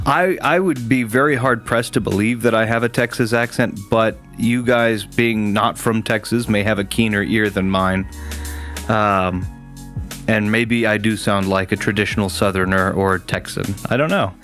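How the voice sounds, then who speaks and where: A man's voice: on the low side, steady tone, moderate pace, fairly bright tone, faint music behind. A man hosting a gaming stream, chatting casually with viewers in a rambling way, full of restarts and fillers.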